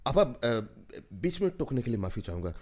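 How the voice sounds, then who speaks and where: A man's voice: medium pitch, big pitch swings, a little fast, a little mellow, husky. An actor playing a polite, curious man asking questions in a radio play. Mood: apologetic, puzzled.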